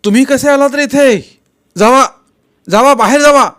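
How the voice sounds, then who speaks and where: A man voicing a bossy, aggressive village boy in a story, scolding and shouting in rough rural speech. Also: sits high, a little slow, voice pushed up. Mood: angry, threatening.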